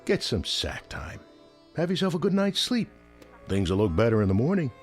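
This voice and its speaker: An actor playing a chatty, coaxing big-city bartender in a radio drama, talking in a folksy, colloquial way. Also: male voice, medium pitch, big pitch swings, normal speed, close to an everyday voice. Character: chatty, placating male city bartender, easily exasperated. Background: background music.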